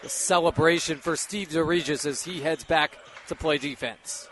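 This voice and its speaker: A man with a fairly high voice gives color commentary on live basketball radio. He sounds conversational and explanatory, adding analysis, with the game and bouncing balls heard behind him. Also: fairly even intonation, normal speed, a bit bright, slight background noise.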